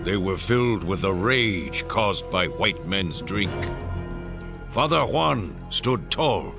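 A solemn storyteller narrating a radio drama legend. His voice is deep and slow, yet rises and falls expressively. Music plays clearly behind. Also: full character voice. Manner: solemn, measured.

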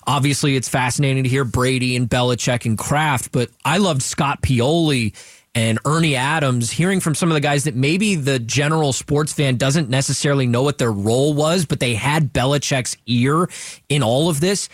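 A man's voice: medium pitch, moderate pace, no echo. Male radio host, upbeat, plugging the show, dry studio sound. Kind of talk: radio interview.

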